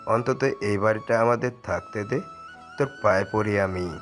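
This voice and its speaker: Downtrodden father, begging and submissive, low voice, as a man plays him. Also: moderate pace, soft background music, close to an everyday voice. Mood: pleading. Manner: pleading, submissive. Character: poor pleading middle-aged father.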